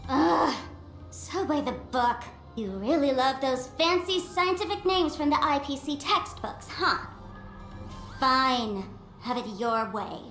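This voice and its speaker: Game role: brash young female guide, high and swooping, theatrical, slightly roomy over music. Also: lively intonation, slow, a bit bright, full character voice. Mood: teasing. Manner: teasing, theatrical. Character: brash, playful young woman acting as tour guide. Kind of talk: animated video game character dialogue.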